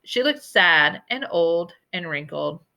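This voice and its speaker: A woman reading a children's novel aloud as an expressive storybook narrator. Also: lowish voice, slow pace, gravelly. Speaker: story reader.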